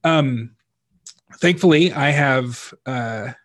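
A man's voice: mid-range voice, drawn-out words, a bit bright. Hesitant podcast host, a man. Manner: hesitant, lots of fillers. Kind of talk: casual interview talk.

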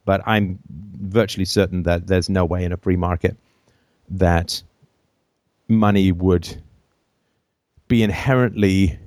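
A middle-aged man hosts a call-in show in a low voice, rambling along with jokes and quick asides. He was born in Ireland and grew up in England and Canada. The sound is dry and close, with no room around it. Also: fairly even intonation, darkish tone, no room sound. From born in Ireland, raised in England and Canada. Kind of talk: casual call-in conversation.

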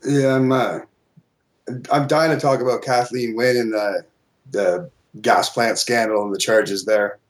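A man calls in to a talk show and answers with quick, brief replies. His voice sits at an ordinary middle pitch. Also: fairly even intonation. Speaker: caller. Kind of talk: phone-in conversation.

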